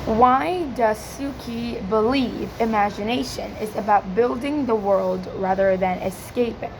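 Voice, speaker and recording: A woman with a hoarse, cracking voice giving an informal question-and-answer presentation, hesitant and full of filler words. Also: a little high, measured pace, noisy background. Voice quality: croaky, cracking. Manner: hesitant, many filler likes. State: hoarse from yelling. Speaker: student presenter practising English speaking.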